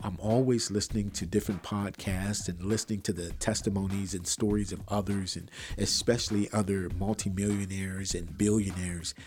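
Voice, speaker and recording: A man hosting a faith-tinged motivational podcast, earnest and preachy, repeating phrases for emphasis, recorded in an untreated room. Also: a little mellow. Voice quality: bassy voice. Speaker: podcast host. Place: untreated room with wires, not acoustically treated.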